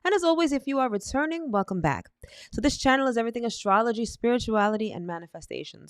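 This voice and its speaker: A woman's voice: fairly high pitch, no echo, clean studio sound. A woman hosting a live astrology stream, sounding nasal from a cold and talking chattily to her viewers. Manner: chatty, addresses viewers as "my loves", ends points with "all right?". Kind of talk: upbeat live-stream talk.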